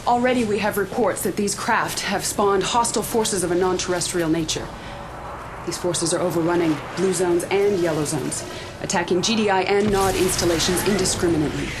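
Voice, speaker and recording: An actress playing a resolute, defiant military faction leader in a video game cutscene, speaking formally and with measured authority. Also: female voice, fairly low pitch, noisy background, lightly acted. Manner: formal, measured briefing delivery.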